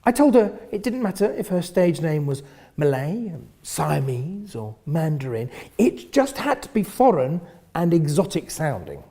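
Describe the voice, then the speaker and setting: A man with a husky voice reading first-person literary fiction in an expressive, theatrical manner, often hushing the ends of phrases. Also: on the high side, unhurried. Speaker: reader of a novel.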